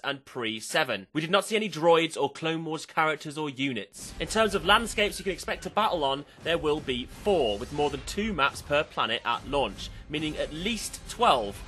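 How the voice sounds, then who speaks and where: A man's voice: high voice, pushed and bright, slightly rough. A man reading an enthusiastic, playful scripted news report as presenter of a video game channel.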